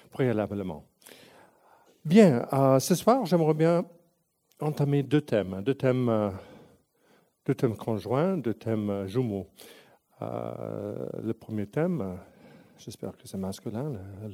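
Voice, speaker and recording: Dark-voiced English Canadian man lecturing. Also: medium pitch. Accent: English Canadian, with Italian influence. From English-speaking Canada. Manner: halting, searches for words, many restarts. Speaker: museum director, guest lecturer. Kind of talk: public lecture.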